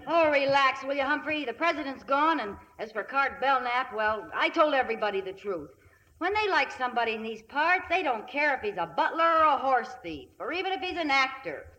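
A woman playing a plucky, no-nonsense small-town sweetheart in a brisk 1950s radio comedy style. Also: sits high, expressive, pushed and bright. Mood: firm. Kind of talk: 1950s comic radio acting. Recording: dull vintage 1950s radio recording.

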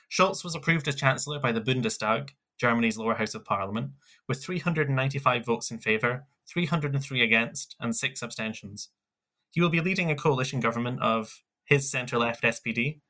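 A man co-hosting a scripted news roundup, reading headlines in a measured way with small asides. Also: mid-range voice, pushed and bright. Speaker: news podcast co-host.

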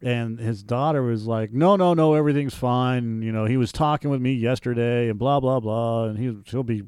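A man's voice: medium pitch, normal speed, a little mellow, clean tone, dry recording, studio quality. A man in his forties co-hosting a casual podcast chat, blunt and profane. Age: 40s. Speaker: movie podcast co-host.

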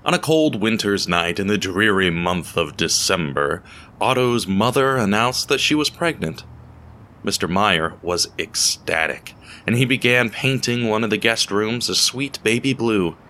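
A man reading a scripted story as narrator, in a measured, dramatic way with deliberate pauses. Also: fairly low pitch, expressive, pushed and bright. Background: music.

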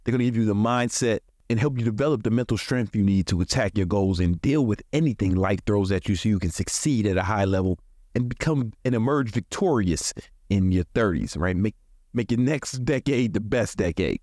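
A man's voice: on the low side, fairly quick, a little mellow, no room sound, clean studio sound. An American man in his forties hosting a podcast, giving casual motivational talk in colloquial, profane language. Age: 40s. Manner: colloquial, profane, chuckles often. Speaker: podcast host.

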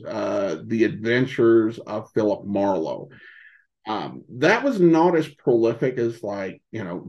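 A man in a casual interview as a podcast guest, talking haltingly and searching for his words. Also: medium pitch, lo-fi sound. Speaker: podcast guest, old-time radio podcaster.

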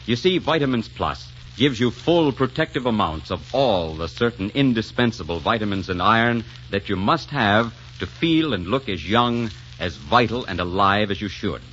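A man's voice: fairly low pitch, expressive, moderate pace, few pauses, fairly bright tone, slight background noise. A man announcing on 1940s radio, polished and persuasive in advertising style. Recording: muffled 1944 radio broadcast with music.

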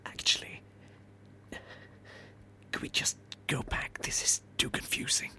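A man's voice: a little slow, sharp tone, whispered. An actor playing an anxious, bewildered man trapped in a dream in an audio comedy drama, hesitant and pleading. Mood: hushed, lost.

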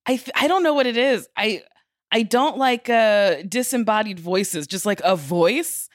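A woman's voice: on the high side, expressive, a little slow, no echo. Blunt, casual woman, dry close sound. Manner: blunt, candid. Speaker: podcast co-host. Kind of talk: casual chat.

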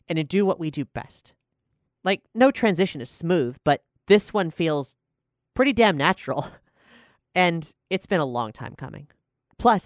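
Smooth, clear host in a dry studio. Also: medium pitch, no echo. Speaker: podcast host and showrunner. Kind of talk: announcements and discussion on a podcast.

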